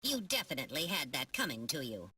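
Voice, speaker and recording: Prim, animated little game robot. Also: female voice, very deep voice, fast talker, breathy edge, distant mic, heavily acted. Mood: scolding, dry. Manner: precise, matter-of-fact, dryly teasing. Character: small prim, literal-minded, sardonic robot sidekick. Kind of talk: video game voice acting.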